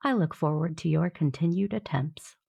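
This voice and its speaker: A woman playing a steadfast, quietly confident warrior in an audio drama, measured and earnest. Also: sits low, gravelly, husky, heavily acted. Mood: calm, steadfast.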